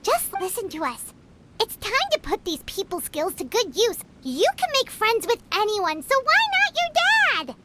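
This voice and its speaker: A woman voicing a tiny, cheeky childlike fairy companion in a video game, chatty, blunt and excitable. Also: very high-pitched, normal speed, noisy background, heavily acted. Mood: earnest, encouraging.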